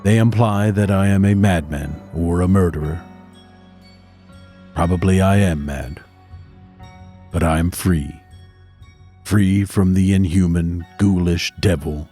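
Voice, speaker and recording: An actor playing a grim, haunted survivor, narrating gothic horror audio drama in a grave, dramatic way. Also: male voice, low voice, takes it slowly, long pauses, dark tone. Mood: haunted resignation. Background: faint music.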